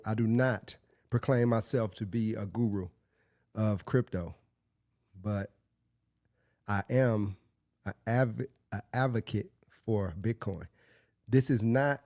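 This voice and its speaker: A man's voice: on the low side, darkish tone, no echo, clean studio sound. A man hosting his own podcast in a loose, casual way, under the weather and sniffly, often restarting his sentences. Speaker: podcast host. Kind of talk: casual solo podcast talk.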